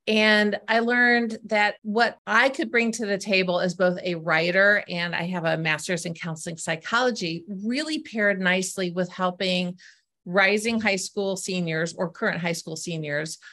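A woman's voice: medium pitch, fairly even intonation, measured pace, sharp tone. A middle-aged woman, a guest on a podcast, explaining her work as an essay coach in an earnest, step-by-step way. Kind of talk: explanatory interview answers.

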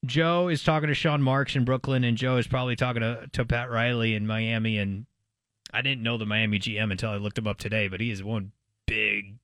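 A man's voice: medium pitch, little pitch movement, a little fast, fairly bright tone, dry recording. A man chatting casually as a sports radio commentator, informal and speculative.

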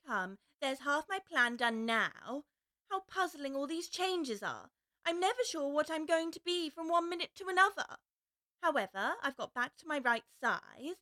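Woman doing a bright little girl voice, earnest and polite, bouncy high pitch, storybook reading. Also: very animated, a little slow, heavily acted.

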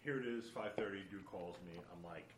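A young man with a deep voice telling a rambling story in casual chat, aspiring voice-over artist. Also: brisk. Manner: laid-back, rambling, many fillers. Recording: roomy, distant mic, faint noise.

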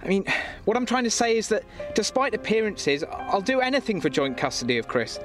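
A man's voice: high voice, big pitch swings, brisk, a bit bright, soft background music. An actor playing a flustered, earnest young father down on his luck in a comedy audio drama, stammering and pleading.

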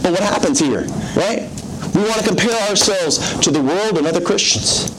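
A man preaching in a church service with a soothing voice, mixing earnest exhortation with self-deprecating jokes. His voice sits a little high for a man. The recording has a clear layer of background noise. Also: expressive, normal speed. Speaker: pastor. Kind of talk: sermon.

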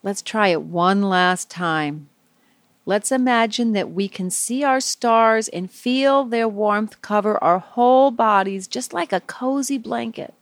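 Slow-paced woman leading a children's guided meditation. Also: mid-range voice, dry recording. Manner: slow, soothing, simple phrases with gentle pauses. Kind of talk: guided visualization, softly spoken to young children.

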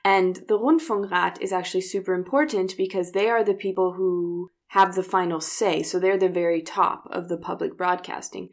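Woman with a low voice, earnest and critical. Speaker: podcast co-host. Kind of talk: casual discussion podcast.

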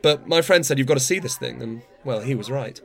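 A male actor as a middle-aged-to-older man of the theatre, self-absorbed and wry. He talks very quickly at a middling pitch, rambling through his opinions with a patronising edge, in natural radio play dialogue. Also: vocal fry, faint music behind, close to an everyday voice. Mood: opinionated, patronising.

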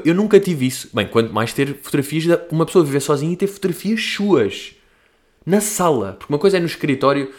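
A man's voice: a little high, darkish tone. A young man from Portugal talks in a loose, rambling way, full of slang and asides. His European Portuguese accent is plain, and he pokes fun at himself as he answers listener questions like a comedian hosting his own show. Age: young adult. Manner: rambling, slangy, self-mocking. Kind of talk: casual monologue.

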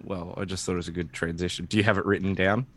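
A man co-hosting a podcast in casual, joking banter, sarcastic, blunt and quick to swear. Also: deep voice, fairly even intonation, a bit bright. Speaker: podcast co-host.

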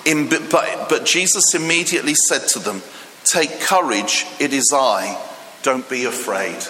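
A Greek-born man preaching in a church hall, his bright, forceful voice carrying a long reverb. He tells his stories with lively animation, restarting sentences and dropping in fillers. A faint room ambience sits behind him. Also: medium pitch, unhurried, a little hiss or hum. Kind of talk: sermon.

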